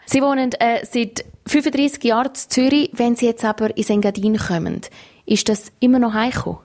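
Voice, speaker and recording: A woman with a Swiss German accent hosting a radio interview and asking measured questions. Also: fairly high pitch, steady tone, a little mellow. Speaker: radio host.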